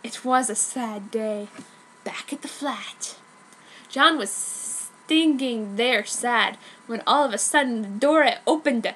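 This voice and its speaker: Female amateur reader, high voice, unhurried, hammy comic storytelling of fan fiction. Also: fairly bright tone, rough recording.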